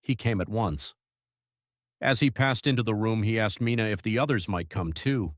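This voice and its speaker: A man playing a grave, devoted young husband who narrates his journal in an audiobook, measured and reflective. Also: on the low side, dry recording, studio quality, natural voice. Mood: weary, reflective.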